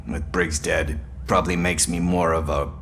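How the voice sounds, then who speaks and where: A gravelly street drifter in a game, lowish and punchy, playful, laid-back wiseguy. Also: male voice, little pitch movement, moderate pace, a bit bright, a little hiss or hum. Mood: wry, friendly. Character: wry, easygoing street drifter and informant. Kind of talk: video game voice acting.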